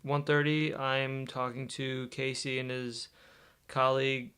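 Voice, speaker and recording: A man's voice: mid-range voice, unhurried, clear, resonant tone. A young man chatting casually in a vlog about his studies and his podcast, losing his voice. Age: young adult. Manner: relaxed, rambling delivery with fillers. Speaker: vlogger and podcast host.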